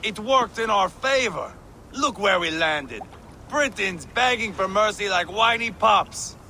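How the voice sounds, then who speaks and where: An actor playing a proud, hot-tempered Viking warrior lord in a video game, with a scornful, crude, swaggering manner. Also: male voice, high voice, animated, unhurried, sharp tone, slight background noise, full character voice. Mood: boastful.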